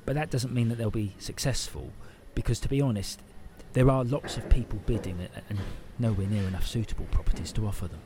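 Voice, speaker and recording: An actor playing a patient but evasive council housing officer with a British accent and a bureaucratic, hedging manner. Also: male voice, lowish voice, brisk, hardly any pauses, background noise, natural voice. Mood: businesslike. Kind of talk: audio play dialogue.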